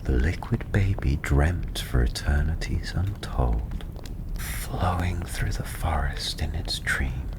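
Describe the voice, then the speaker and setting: A man playing a welcoming fantasy tavern storyteller, speaking softly and soothingly in a gentle, half-whispered ASMR style over clear fireside ambience. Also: low voice, heavily acted, rough recording. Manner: hushed, gentle, unhurried, often half-whispered. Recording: clearly audible ambient background noise.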